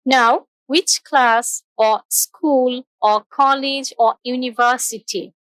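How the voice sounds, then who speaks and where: A young woman, teaching a scripted English lesson slowly and carefully, repeating example sentences. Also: high-pitched, steady tone, a bit bright, no room sound, low-quality recording.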